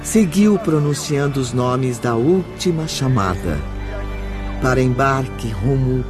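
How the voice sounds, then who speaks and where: A Brazilian man narrating a spiritual radio drama solemnly, with measured dramatic pauses. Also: medium pitch, expressive. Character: solemn male storyteller of a spiritual tale. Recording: music bed behind the voice.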